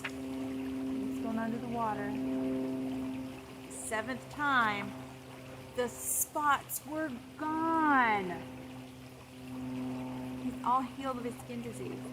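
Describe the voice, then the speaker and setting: A woman reading a Bible story aloud for children, explaining it simply and repeating phrases. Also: a little high. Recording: clear steady background noise.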